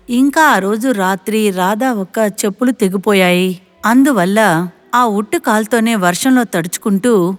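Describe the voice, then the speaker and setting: A woman narrating a scripted moral story. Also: medium pitch.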